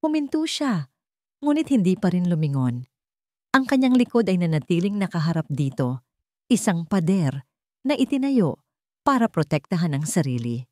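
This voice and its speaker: Low-voiced woman narrating a dramatic romance. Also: very animated, moderate pace, long pauses, dark tone, no room sound, studio quality. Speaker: story narrator.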